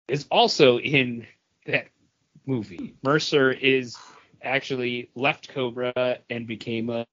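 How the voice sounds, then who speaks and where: A man's voice: medium pitch, fairly bright tone. Man chatting casually in dull lo-fi audio. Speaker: podcast co-host. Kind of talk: casual comic book review chat.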